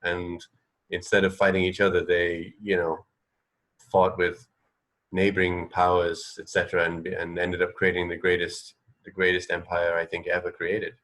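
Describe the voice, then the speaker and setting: A man co-hosting a casual radio chat, explaining things in a halting, rambling way with repeated phrases. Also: sits low, monotone, a bit bright. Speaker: radio co-host.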